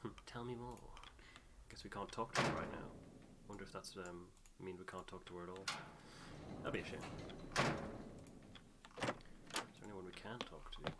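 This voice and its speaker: Low-voiced man chatting through a game. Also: normal speed, pauses often. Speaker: gaming video co-host. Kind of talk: casual playthrough commentary. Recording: background noise, keyboard and mouse clicks.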